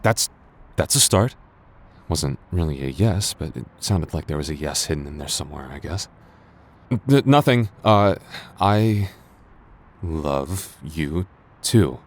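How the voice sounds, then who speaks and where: Casting: male actor for a low-pitched young childhood friend in a romance audio roleplay, defensive yet smitten, hesitant and stumbling over words. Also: a little mellow. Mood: shy, tentative. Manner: halting, stammering, hesitant. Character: young man, flustered, defensive childhood friend secretly in love.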